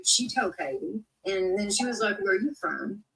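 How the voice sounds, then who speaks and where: A woman's voice: medium pitch, lively intonation, moderate pace, creaky, a bit bright, recorded from a distance, a little hiss or hum, low-quality recording. A woman giving frank opinions and reading viewer comments in a casual chat.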